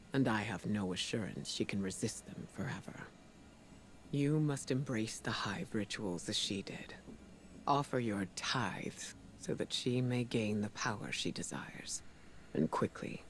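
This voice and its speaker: A man performs a grave male mentor from a video game. His mid-pitched voice is measured and solemn, weighted with worry, with music clearly behind it. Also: natural voice. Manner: measured, solemn, hushed at phrase ends. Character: grave, worried male mentor urging on a hero. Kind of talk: dramatic video game narration.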